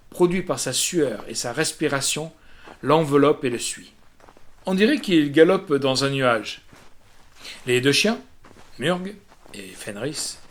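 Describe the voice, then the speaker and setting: A man reading a literary gothic tale as an audiobook, in an expressive, measured and dramatic storytelling manner. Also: fairly high pitch. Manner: dramatic, measured storytelling with swells and hushed drops. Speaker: audiobook reader. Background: music, guitar.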